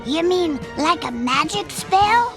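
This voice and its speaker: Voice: very high voice, sing-song, takes it slowly, music in the background, exaggerated voice. An actor voicing a plucky, cheeky young duckling in a cartoon game. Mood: curious, excited. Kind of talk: animated cartoon game acting.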